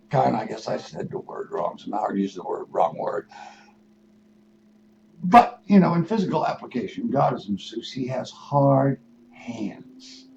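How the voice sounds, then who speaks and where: An older man, in his sixties or beyond, hosting a radio show with a rambling spiritual monologue, musing and halting, then leaning into words for emphasis. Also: mid-range voice, vocal fry, a little mellow. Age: 60s or older. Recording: dull lo-fi radio audio with noise.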